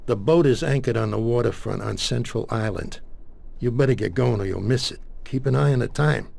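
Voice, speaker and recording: A man acting a cool, streetwise mob consigliere in a video game, briefing in slang with a raspy, gravelly voice. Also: mid-range voice, fairly quick, darkish tone. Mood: calm, instructing.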